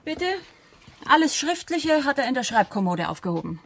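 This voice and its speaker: An actress playing a curt, sour, composed bereaved sister in a German radio crime drama, with a husky voice. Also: female voice, high voice, sing-song, moderate pace, heavily acted. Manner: curt, guarded.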